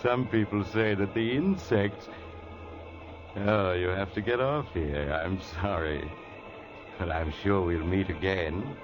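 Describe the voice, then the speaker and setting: A sly, deep-voiced mystery narrator. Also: male voice, takes it slowly. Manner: sly, teasing. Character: sly, mysterious male storyteller on a train. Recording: dull old radio recording with music.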